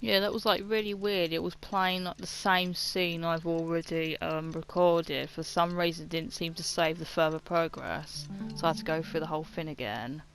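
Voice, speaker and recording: A woman's voice: low-pitched, fairly even intonation, moderate pace, hardly any pauses, rough recording. A woman giving casual let's-play commentary, thinking aloud in a hesitant way. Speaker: gaming video creator. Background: game music.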